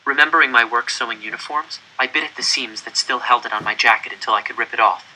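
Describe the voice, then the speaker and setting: Man, very bright tense voice, mid pitch, dramatic story narration, expressive, deliberate. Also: a little hiss or hum, poor audio. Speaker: audiobook reader.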